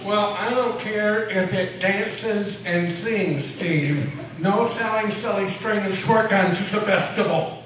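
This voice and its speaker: A man's voice: high-pitched, slow pace. A man playing a stubborn small-town councilman in a live radio comedy, folksy and insistent, bulldozing over objections. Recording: echoey hall, distant mic, noisy.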